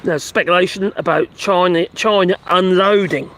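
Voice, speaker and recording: A man hosting a casual live stream, reading news aloud and commenting in a rambling, halting way, his voice going hoarse. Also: sits high, fairly even intonation, a bit bright. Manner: rambling, halting, many fillers and restarts; talks to his pets between remarks. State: losing his voice. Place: at home with animals nearby.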